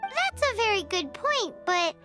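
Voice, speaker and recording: A woman voicing a tiny, excitable fairy girl who talks about herself in the third person, for a game. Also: very high voice, a little slow, faint music behind, exaggerated voice. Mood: chirpy. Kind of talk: game voice acting.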